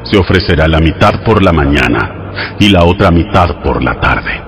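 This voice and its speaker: An actor playing a solemn, commanding God who gives laws in a measured, instructive way in a dramatized audio Bible. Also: male voice, low-pitched. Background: music.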